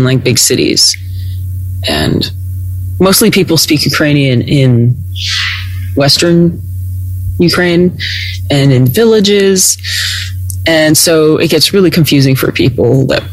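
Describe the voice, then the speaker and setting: A woman's voice: sits low, slow, long pauses, dry recording, faint music behind, poor audio. Podcast guest, woman, reflective and loosely worded, in a dry room. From United States. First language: Russian. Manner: thoughtful, hedging with "like" and "kind of". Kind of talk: conversational interview. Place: Los Angeles.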